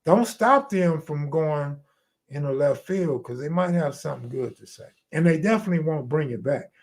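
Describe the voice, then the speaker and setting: High-voiced, gravelly American streamer, slangy guy. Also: lively intonation, moderate pace. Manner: slangy, streetwise, profane. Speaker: live stream host. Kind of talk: casual live stream chat.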